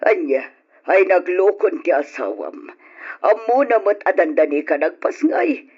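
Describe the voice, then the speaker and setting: Older mother for radio drama: concerned, disapproving, husky, animated delivery. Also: fairly low pitch, a little slow, full character voice. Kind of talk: old radio drama acting in Ilocano. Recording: dull, lo-fi radio drama recording.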